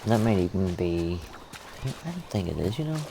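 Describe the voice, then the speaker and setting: A man's voice: deep voice, sing-song, moderate pace, creaky, very noisy. A man giving casual, sweary commentary on a video game livestream, chatting to himself through a headset. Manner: sweary, chatty, talks to self.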